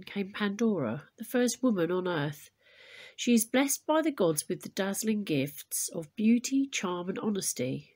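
A woman teacher reading a storybook aloud to pupils in an expressive, deliberate way, adding explanations. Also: medium pitch, husky.

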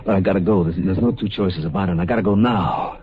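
A man's voice: sits low, fast, breathy edge. A man playing a desperate, drunken, bullying man on the run in a 1950s radio drama, agitated and slangy. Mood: urgent, pleading. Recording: muffled old radio recording, music behind.

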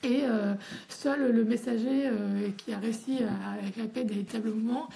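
A woman's voice: a little high, fairly even intonation, moderate pace, gravelly, dark tone. A woman historian explaining the period at length, with frequent hesitations and restarts. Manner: hesitant, many fillers and restarts. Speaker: historian guest. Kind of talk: academic explanation.

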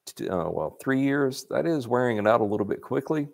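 A man's voice: medium pitch, animated, brisk, gravelly. A man, hosting a live Q&A from a workshop and giving casual, folksy repair advice. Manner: folksy, chatty, reads questions aloud and adds anecdotes. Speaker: parts company host answering viewer questions. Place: workshop garage with motorcycles, indoors.

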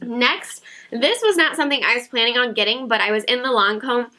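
Bright, chatty woman with a stuffy nose. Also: on the high side, a little fast. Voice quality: nasal. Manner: chatty, giggly, self-mocking. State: sick, slightly nasal. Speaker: beauty vlogger. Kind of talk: casual haul chat to camera. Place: home beauty room with shelves.

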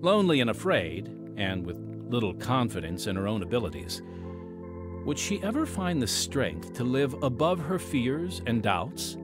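A man's voice: medium pitch, very animated, normal speed, over music. A man hosting and narrating a scripted audio drama with a polished, dramatic presenter delivery. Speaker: host and announcer.

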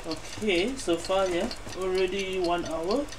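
A man's voice: high-pitched, unhurried, noisy background. A man giving casual gameplay commentary, muttering to himself and repeating words as he plays. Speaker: gamer playing and commenting. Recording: loud game music behind the voice. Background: video game music.

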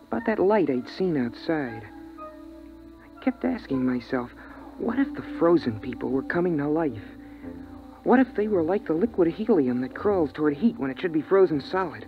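A man playing an earnest, anxious boy who narrates a 1950s radio drama in a plain, confiding way. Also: on the high side. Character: earnest, anxious boy recalling life on a frozen earth. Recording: dull vintage radio recording with music.

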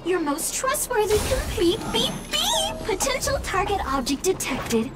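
An actress voicing a small, eager robot helper in a video game, with perky singsong announcements and salesy politeness. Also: female voice, very high voice, huge pitch swings, a little slow, loud music behind the voice, full character voice. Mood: chirpy, salesy. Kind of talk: scripted video game voice acting.

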